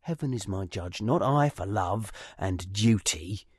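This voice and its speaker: An actor playing a sly male villain who confides his schemes in a low voice in a dramatised audiobook. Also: expressive, a little slow, a little mellow, husky, no echo. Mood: sly confiding.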